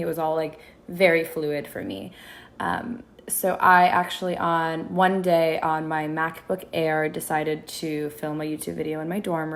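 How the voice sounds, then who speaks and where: A woman in her twenties from Minneapolis, a content creator, chatting casually as a podcast guest with lots of restarts. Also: on the low side. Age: 20s. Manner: chatty, many restarts and fillers. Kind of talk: casual interview chat.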